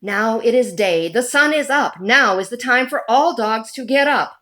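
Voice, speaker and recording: A woman reading a children's picture book aloud in a deliberate, animated storytelling manner. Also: a little high.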